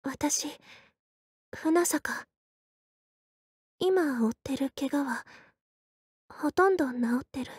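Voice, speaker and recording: An actress playing a quiet, reserved young female soldier in a game, soft-spoken and deadpan, speaking in short halting phrases. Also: high voice, no echo. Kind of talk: Japanese game character voice lines.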